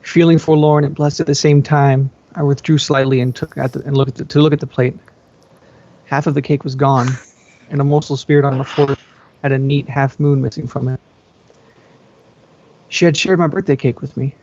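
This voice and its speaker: A man's voice: medium pitch, flat delivery, normal speed, pauses often, a little mellow, muffled recording. A man reading fan fiction aloud as a first-person narrator.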